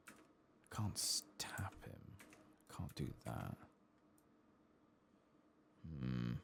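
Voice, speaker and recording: Soft-spoken, low-pitched man, gently gravelly. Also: slight background noise. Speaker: host of a gaming ASMR channel. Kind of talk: soft-spoken ASMR game commentary with some whispering.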